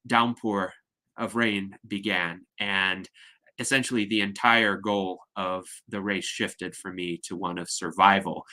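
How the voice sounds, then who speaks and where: Male host, lowish voice with a crisp bright edge, measured and articulate, video call. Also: low-quality recording.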